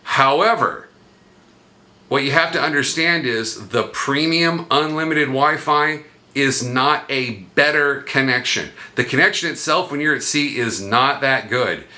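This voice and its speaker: A man's voice: medium pitch, measured pace, pushed and bright. A man hosting a vlog, explaining things casually and conversationally, sometimes asking himself questions aloud. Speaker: vlog host.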